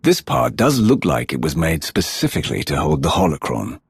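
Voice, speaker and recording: A man playing a terse, commanding Sith warrior in a video game, with a husky, gravelly voice. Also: a bass voice, sing-song, moderate pace, dry recording, clean studio sound, full character voice. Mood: resolute.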